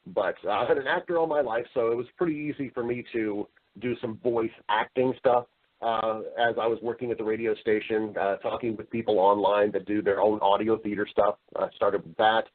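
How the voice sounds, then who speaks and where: A man's voice: lowish voice, steady tone, fairly quick. An American man telling his story casually as an interview guest, chatty and rambling, often repeating words. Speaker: interview guest, voice actor and entertainer. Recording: muffled, narrow phone-like sound.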